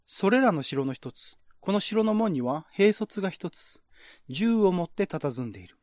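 A man's voice: high voice, animated, moderate pace, darkish tone, no room sound, studio quality. A man reading scripted narration in a radio-drama style, as the narrator of a screenplay.

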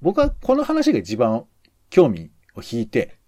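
A man's voice: mid-range voice, wildly swooping pitch, a little slow, mellow, no room sound. A man co-hosting a podcast, explaining in casual chat in a hedging, roundabout way. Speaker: podcast co-host commenting on radio shows.

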